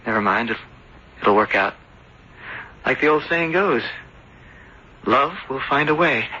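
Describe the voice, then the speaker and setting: An actor playing an earnest, lovesick young seafarer back home, speaking haltingly in radio drama style. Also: male voice, mid-range voice, lively intonation, close to an everyday voice. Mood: hopeful. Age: young adult. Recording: dull, noisy old radio broadcast.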